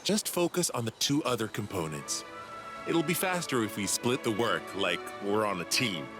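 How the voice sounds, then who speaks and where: A man's voice: fairly low pitch, sing-song, normal speed. A man voicing an eager, cocky young alien inventor who explains things condescendingly in a video game. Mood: chatty. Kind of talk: video game cutscene dialogue. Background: background music.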